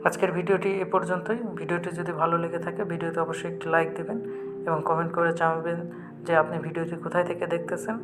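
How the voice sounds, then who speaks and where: A man's voice: on the high side, monotone, quick pace. A man with a Bangladeshi accent hosting a tech tutorial, explaining an app step by step in an instructional way. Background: background music.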